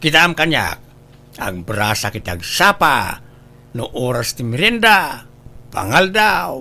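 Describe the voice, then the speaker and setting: A man's voice: mid-range voice, sing-song, a little slow, vocal fry, pushed and bright, full character voice. A man with an Ilocano Filipino accent acting a boastful, health-obsessed, nagging but cheerful old husband in a comedy drama. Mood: nagging, preachy.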